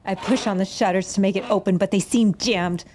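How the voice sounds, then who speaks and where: A woman's voice: medium pitch, fairly even intonation, brisk, background noise, close to an everyday voice. A woman playing an embittered, defiant stage magician in an audio drama, narrating her own story with dramatic intensity. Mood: tense, brooding. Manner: dramatic, swings from hushed narration to angry outbursts. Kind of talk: audio drama acting with first-person narration.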